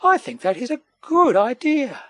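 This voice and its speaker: Man playing an anxious, high-pitched mother koala. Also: big pitch swings, moderate pace, fairly bright tone, dry recording, heavily acted. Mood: kindly. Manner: fretful, motherly. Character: anxious, motherly female koala, protective of baby.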